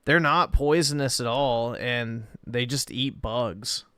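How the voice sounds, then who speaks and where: A man's voice: medium pitch, takes it slowly, a bit bright, smooth, no echo, clean studio sound. A man telling laid-back, rambling anecdotes in casual chat on a livestream.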